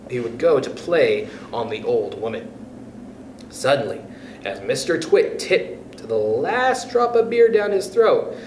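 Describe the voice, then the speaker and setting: Animated, slow-paced male storyteller. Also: mid-range voice, recorded from a distance. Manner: animated, expressive. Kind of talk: children's storytime read-aloud. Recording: roomy echo with faint background noise.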